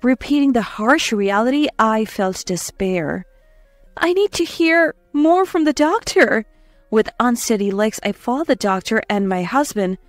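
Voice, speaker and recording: Brief: female narrator, higher voice, emotive scripted drama, dry sound with light music behind. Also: expressive, a little slow, no echo. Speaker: story narrator. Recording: dry close voice over faint music. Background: soft background music.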